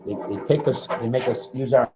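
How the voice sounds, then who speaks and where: A man's voice: mid-range voice, fairly quick, background noise. A man reading a picture book aloud to young children, with sing-song refrains, simple explanations and questions to the listeners. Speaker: children's story reader. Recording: dull, muffled lo-fi sound.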